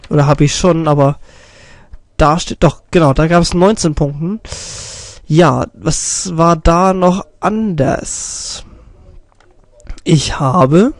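A young man with a slightly raised voice and a mild cold talks through a channel review, clearing his throat now and then. He rambles, trips over his own sentences and fixes them as he goes. The sound is dry and close, from a stand microphone on his desk. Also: on the high side, darkish tone, dry recording. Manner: rambling, self-correcting, many fillers. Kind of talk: casual screen-recorded commentary.

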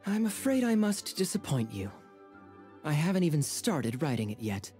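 A man's voice: a little high, very animated, moderate pace, warm tone, faint music behind. A man voicing a suave, wry young knight captain in a video game.

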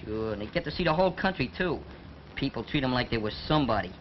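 An actor playing a brash young street kid who dreams of becoming a jockey, talking tough slang in 1940s film style. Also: male voice, mid-range voice, animated, quick pace, natural voice. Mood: boastful. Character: cocky street kid set on being a jockey. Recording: dull old film soundtrack with hiss.

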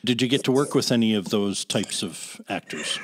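A man's voice: lowish voice, expressive, moderate pace, breathy edge. A man hosting a podcast, asking questions in a conversational interviewing style. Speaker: podcast host.

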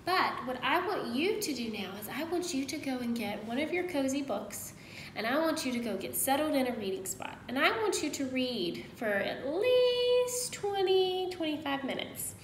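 High, lively woman teacher. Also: moderate pace. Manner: expressive, instructive, prompting listeners with questions. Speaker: primary school teacher. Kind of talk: picture-book read-aloud for young children. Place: indoors in a room at home. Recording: roomy echo, faint background noise.

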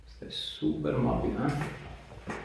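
A man's voice: a little high, fairly even intonation, slow pace, creaky, a little mellow. A man, a chiropractor giving casual instructions in short phrases during treatment. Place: small bare treatment room, indoors. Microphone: wireless clip mic on scrubs top. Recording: echoey room, distant, faint noise.

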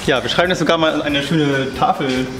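A man's voice: a little high, brisk, music in the background. A cheerful, chatty man in his thirties filming a vlog in a tiled restaurant kitchen on a handheld camera, laughing often. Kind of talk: casual vlog chat. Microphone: handheld camera mic. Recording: echoey kitchen with loud clatter.

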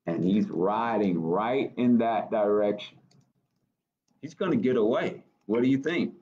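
A middle-aged American man reading a picture book aloud to children, expressively, pausing to ask questions. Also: fairly low pitch, dark tone, highs cut off. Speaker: library storytime reader. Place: small office, indoors.

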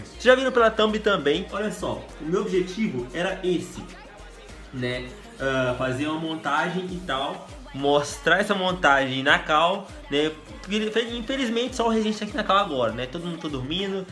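A young man from Brazil talks fast and excitedly to his viewers from a small room at home. His voice is bright and slightly high, and it sounds stuffy from a cold. Faint music plays underneath. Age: young adult. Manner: excitable, laughing, slangy. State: congested with a cold. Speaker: gaming youtuber. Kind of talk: casual vlog chat.

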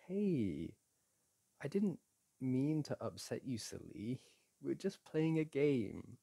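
A man playing a playful boyfriend in an intimate audio roleplay, chatting casually, teasing and coaxing the listener in a gentle, halting way. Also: medium pitch, sing-song, vocal fry, breathy edge, exaggerated voice. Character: playful, teasing, soothing boyfriend at a board game.

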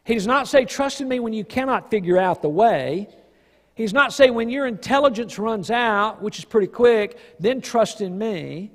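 A man preaching a sermon in an emphatic, folksy way, pausing for weight and asking rhetorical questions. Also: sits high, animated. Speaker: pastor. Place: church sanctuary stage at a lectern. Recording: long reverb of a church hall.

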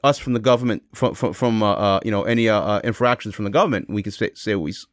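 A man's voice: lowish voice, fast, dry recording, studio quality. A man as a podcast guest in casual, opinionated chat, rephrasing himself as he argues.

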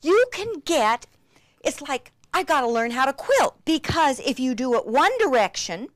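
Female craft demonstrator, breathlessly enthusiastic, unhurried delivery, close dry sound. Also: sits high, no echo. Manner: gushing, breathlessly excited, with frequent gasps and exclamations.

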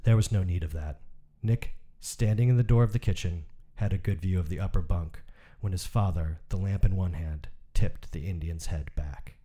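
A man's voice: deep voice, normal speed, few pauses, warm tone. A man reading a short story aloud as literary narration for a podcast. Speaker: podcast co-host and story reader.

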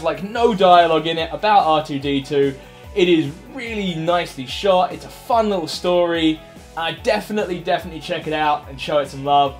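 A man in his thirties talking in a casual, chatty, enthusiastic way to camera as a video host, giving recommendations. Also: fairly high pitch. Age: 30s. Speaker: host of an online video show. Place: small furnished room indoors. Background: background music.

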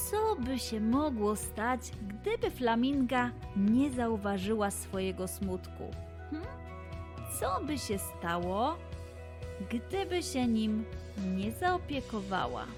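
A woman narrating and hosting a children's story podcast, deliberately addressing young listeners. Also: fairly high pitch, animated, music in the background.

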